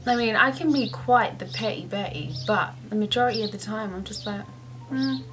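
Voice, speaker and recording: A woman's voice: mid-range voice, background noise. Chatty upbeat young woman vlogger. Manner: chatty, upbeat, laughs often. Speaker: vlogger answering viewer questions. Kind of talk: casual Q&A vlog.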